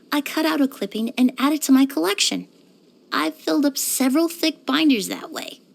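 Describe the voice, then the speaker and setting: A woman playing a courteous, composed young woman in a video game, speaking politely and earnestly with careful, measured wording. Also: high-pitched, gravelly, darkish tone, full character voice. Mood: relaxed, pleasant. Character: poised, courteous young woman perfumer and forensic cleaner. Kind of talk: scripted video game character voice lines.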